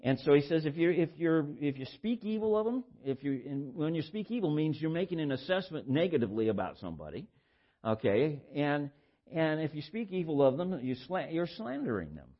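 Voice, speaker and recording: An older man with a baritone voice preaching informally, halting and repeating words as he shares personal stories in a conversational way. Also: big pitch swings, muffled recording. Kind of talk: informal sermon with personal anecdotes.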